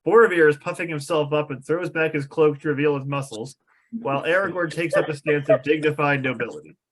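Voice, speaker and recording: A man's voice: a little high, a little fast. A man reading out the stage directions of a fantasy script as narrator at a table read.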